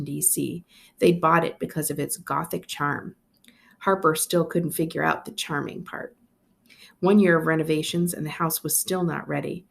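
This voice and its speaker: Low creaky-voiced American woman. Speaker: school librarian reading aloud. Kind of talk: casual book introduction and expressive storybook reading.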